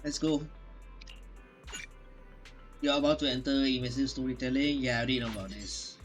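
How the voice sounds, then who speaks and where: A man's voice: mid-range voice, brisk. A man doing playthrough commentary as a gaming host, reacting and reading game text aloud. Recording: game music behind the voice.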